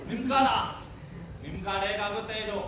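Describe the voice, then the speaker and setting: Man playing bold young friend, very high voice. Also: moderate pace, natural voice. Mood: nervy, challenging. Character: bold young male friend exploring a haunted forest. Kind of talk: amateur stage play dialogue. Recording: very echoey hall, distant mic, noisy.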